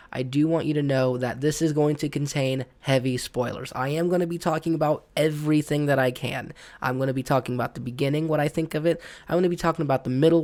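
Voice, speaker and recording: A man hosting an unscripted gaming opinion vlog, hoarse and raspy from being sick, rambling and stumbling with lots of ums. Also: medium pitch. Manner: looks around rather than at the camera. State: hoarse from illness. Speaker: gaming video host.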